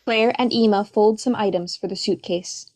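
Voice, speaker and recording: A woman's voice: mid-range voice, moderate pace, darkish tone. A woman reading stage directions as scripted narration for a play reading.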